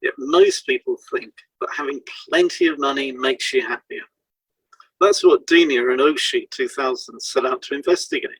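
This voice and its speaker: A man's voice: medium pitch, big pitch swings, normal speed, vocal fry, fairly bright tone, slightly rough, dry recording, low-quality recording. A man reading a scripted educational piece aloud as a podcast co-host.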